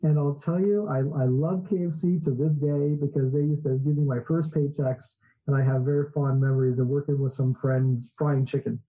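Laid-back husky guy in his 40s, chatty guest, kinda muffled audio. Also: fairly high pitch, normal speed, few pauses. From Southern California. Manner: relaxed storytelling, many fillers. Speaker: podcast guest, entrepreneur. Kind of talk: casual interview chat.

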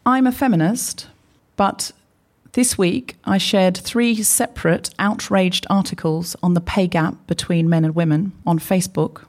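A woman's voice: lowish voice, steady tone, measured pace, darkish tone. A woman co-hosting a live comedy podcast on stage, chatty and anecdotal, acting out both sides of the conversations she retells. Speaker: podcast co-host. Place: live stage before an audience.

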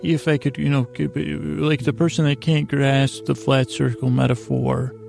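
A man hosting a sleep podcast in a rambling bedtime monologue, soothing and lulling, halting and repeating his words, with a gravelly voice. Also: mid-range voice, little pitch movement, darkish tone, faint music behind. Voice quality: gravelly, creaky.

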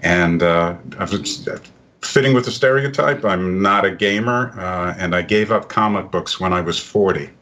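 A man's voice: low-pitched, normal speed, fairly bright tone, highs cut off. An older man from the United States, a guest on a podcast, introducing himself with dry jokes. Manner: dry, joking. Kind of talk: casual chat.

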